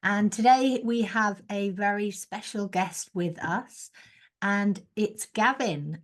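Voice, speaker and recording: A woman hosting a podcast, who speaks softly and interviews a guest. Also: medium pitch. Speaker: podcast host.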